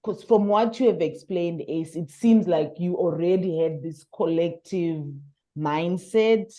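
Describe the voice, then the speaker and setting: A woman from South Africa with a Southern African accent conducts a conversational interview. Her voice is very low and soft-edged, with a mellow, relaxed tone. She sounds engaged and affirms what her guest says. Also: unhurried. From South Africa, born in Zimbabwe. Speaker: interviewer, researcher.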